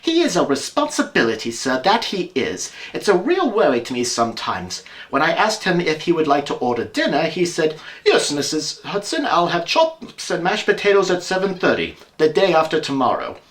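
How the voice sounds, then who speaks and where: A man puts on a worried housekeeper for an audio drama. Her voice is pitched up and swoops freely. She is deferential yet gossipy, fussing as she chatters. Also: sing-song, moderate pace, few pauses, fairly bright tone. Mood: worried, chatty. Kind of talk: amateur audio drama acting.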